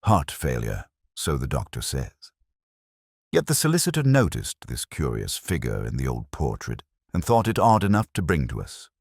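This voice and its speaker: Guy doing a wary police inspector, really deep, matter of fact and formal, audiobook, close dry sound. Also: huge pitch swings, normal speed, vocal fry, breathy edge, no echo, full character voice. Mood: official, factual. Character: sceptical, uneasy male police inspector, official and blunt. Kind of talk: audiobook detective story dialogue.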